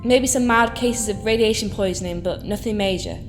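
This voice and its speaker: A woman's voice: medium pitch, a little fast, lightly acted. An actress playing a decisive, urgent female chief engineer in a science fiction audio drama. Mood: businesslike. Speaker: actor. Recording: roomy echo, music underneath.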